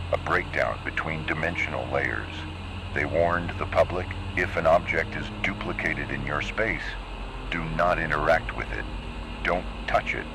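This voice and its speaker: Guy doing a super deep gravelly analog horror warning narrator, grave n measured, noisy tape sound. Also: full character voice. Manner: ominous, measured, deliberate phrasing. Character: grave male narrator of eerie warning tapes.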